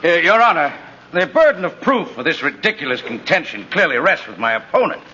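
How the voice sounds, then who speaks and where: Indignant male district attorney, bright forceful tone, formal, muffled old radio recording. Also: a little high, big pitch swings, fairly quick, slight background noise. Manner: forceful, declamatory. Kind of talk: 1950s radio drama acting.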